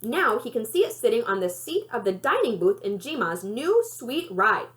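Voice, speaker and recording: A woman reading a children's novel aloud in an expressive storytelling style. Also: fairly high pitch, moderate pace. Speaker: library read-aloud reader.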